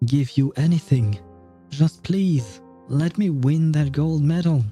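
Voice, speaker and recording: A man's voice: a little high, slow, dark tone, breathy edge, faint music behind, voice pushed up. A man voicing a proud, wounded young noblewoman athlete from a game story, earnest and desperate to win. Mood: desperate pleading.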